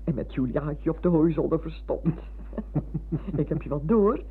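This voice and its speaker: Elderly teacher, husky voice, warm, chatty, exclaiming, radio drama, dull narrow-band sound. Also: female voice, low-pitched, lively intonation, a little slow, exaggerated voice. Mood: delighted, reminiscing. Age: older. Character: elderly former schoolmistress, warm, chatty, excitable wife. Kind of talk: Dutch radio play acting. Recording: muffled lo-fi old radio recording.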